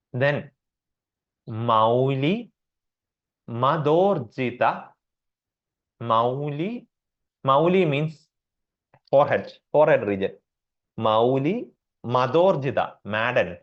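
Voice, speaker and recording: A man with an Indian accent teaching a class on Sanskrit verses, repeating each term slowly with many fillers. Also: mid-range voice, animated, highs cut off. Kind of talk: explanatory class lecture.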